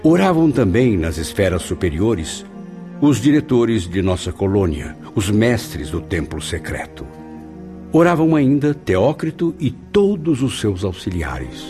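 An actor playing a reverent memoirist who narrates a radio drama in a solemn, measured way. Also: male voice, lowish voice, big pitch swings. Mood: moved reverence. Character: reverent male spirit narrator recounting his afterlife memoir. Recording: music behind the voice.

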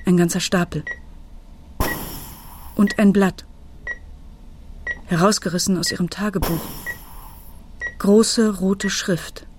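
An actress playing a middle-aged, troubled mother, narrating a radio drama in a measured, introspective way. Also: medium pitch, steady tone, a little hiss or hum, natural voice. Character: troubled, watchful mother telling her story. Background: music.

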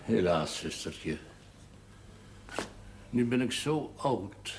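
An actor playing a seventy-six-year-old priest, speaking slowly and solemnly in a husky voice, in a 1970s television drama. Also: male voice, fairly low pitch, lively intonation, full character voice. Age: 70s. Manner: slow, measured, solemn delivery. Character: old male priest, wise and melancholy.

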